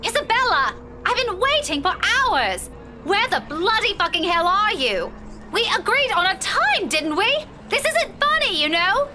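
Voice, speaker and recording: A woman voicing an exasperated, sharp-tongued young woman in a visual novel game. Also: extremely high pitch, very animated, racing, hardly any pauses, over loud music. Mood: furious. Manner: exasperated, swearing, emphatic.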